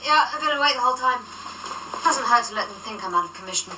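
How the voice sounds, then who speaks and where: A woman's voice: fairly high pitch, fast talker, natural voice. An actress playing an eccentric paranormal agent in a radio drama, matter-of-fact and blithely confident as she reasons aloud. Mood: blithe confidence. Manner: blithe, analytical, deadpan. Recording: slightly distant, clear background noise and ambience.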